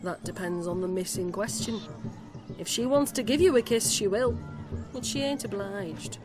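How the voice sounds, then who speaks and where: A woman's voice: mid-range voice, normal speed, over music, close to an everyday voice. A woman playing a plain-spoken rustic villager in a video game cutscene, folksy and matter-of-fact. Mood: explaining, cheeky.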